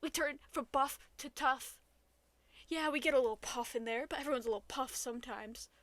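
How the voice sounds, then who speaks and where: A woman podcast co-host with a notably high voice and a close, dry sound. She chats casually and leans into playful, mock-dramatic delivery. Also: a little fast, fairly bright tone, dry recording. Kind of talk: casual banter.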